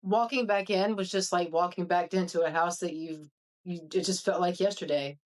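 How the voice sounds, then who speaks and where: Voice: medium pitch, fairly even intonation, moderate pace. A podcast guest reflecting casually in conversation, with loose, repetitive phrasing. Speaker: podcast guest, returning hall member.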